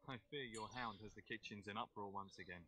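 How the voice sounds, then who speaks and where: A man's voice: lowish voice, a little fast, noisy background, natural voice. A man playing an earnest, deferential knight in a video game, with a formal, apologetic manner. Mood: harried, polite.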